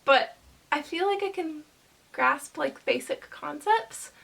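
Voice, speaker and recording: A woman vlogger chatting casually to camera, rambling hesitantly with lots of fillers and pauses. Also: high-pitched, vocal fry, a bit bright.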